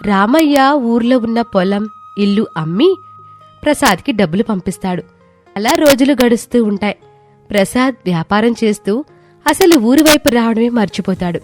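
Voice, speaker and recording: A woman's voice: a little high, animated, normal speed, a little mellow. Woman telling a moral story, scripted narration, bit of music behind.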